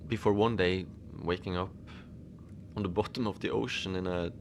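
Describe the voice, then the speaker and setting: A man playing an easygoing, whimsical lone Antarctic station manager, rambling in a conversational, deadpan audio-fiction monologue. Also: sits low, normal speed, slight background noise. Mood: musing storytelling. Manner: musing, conversational, deadpan about absurd things. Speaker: fiction podcast narrator, lone facility manager at a polar station. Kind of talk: first-person audio fiction monologue, rambling radio log.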